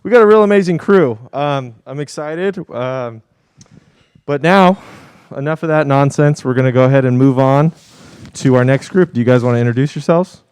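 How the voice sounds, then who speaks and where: A man's voice: medium pitch, normal speed, a little mellow, clear, resonant tone, no echo. A man hosting a live show in a casual, chatty style. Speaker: host.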